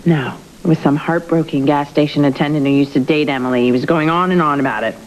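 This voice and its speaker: A woman's voice: low-pitched, fast talker, natural voice, rough recording. An actress playing a blunt, sardonic, scheming young woman in a television soap. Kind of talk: television soap opera acting.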